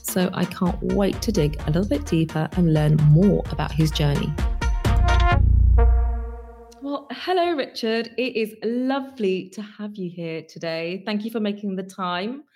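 A woman's voice: medium pitch, lively intonation, moderate pace, few pauses, mellow, faint music behind. A woman with a London accent, hosting a podcast with a polished, enthusiastic delivery of her intro and questions. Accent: London English. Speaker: podcast host. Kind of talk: scripted intro and interview.

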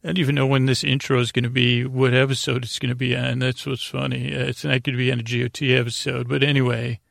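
A man hosting a bedtime podcast in a gravelly voice, rambling haltingly and repeating words as he drifts into goofy, gently silly tangents. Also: medium pitch, flat delivery, no echo, clean studio sound. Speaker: host of a bedtime sleep podcast. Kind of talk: rambling, meandering bedtime monologue.

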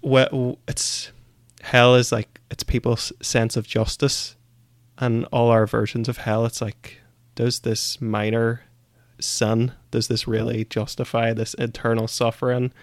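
A man's voice: mid-range voice, level tone, measured pace, no echo, studio quality. A man co-hosting a casual book discussion, giving opinions in hesitant phrasing with repeated words. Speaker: podcast co-host.

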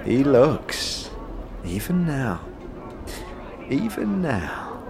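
A man playing a crazed, ragged old beggar who tells cryptic tales theatrically in an audio drama, halting and repeating phrases with erratic swings. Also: medium pitch, very animated, crowd noise, exaggerated voice. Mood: mysterious. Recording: music under the voice.

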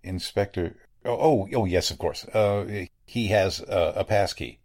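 Man playing a low-voiced, courteous morgue doctor. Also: unhurried, a little mellow. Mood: grave, hesitant. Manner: hesitant, courteous, formal. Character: polite, evasive male morgue doctor, hesitant and grave. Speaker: actor in an audio drama. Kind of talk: 1940s radio drama acting.

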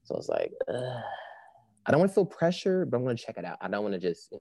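A man, a musician guest, chatting in a relaxed, slangy, rambling way, his voice a little raspy from not warming up. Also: medium pitch, fast, no echo, studio quality. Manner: laid-back, slangy, rambling. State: slightly raspy, not warmed up. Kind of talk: casual interview chat. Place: home studio with padded walls. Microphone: studio microphone on a video call.

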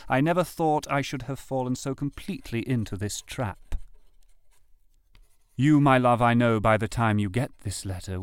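Man acting out anguished letter-writing lover, medium pitch, heartfelt literary delivery, dramatic reading, dry sound. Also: clear, resonant tone, dry recording, lightly acted. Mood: wistful, wry.

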